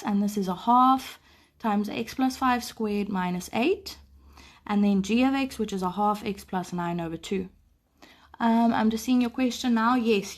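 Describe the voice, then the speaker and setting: A woman who is sick teaches a live maths lesson, explaining patiently and clearing her throat often. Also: medium pitch. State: sick, voice a bit off. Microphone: phone camera over paper.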